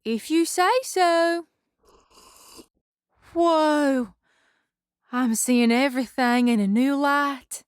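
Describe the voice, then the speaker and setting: A woman's voice: high-pitched, extremely slow, pauses often. A woman playing a naive, earnest and wonderstruck young woman in a theatrical audio drama. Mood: awed.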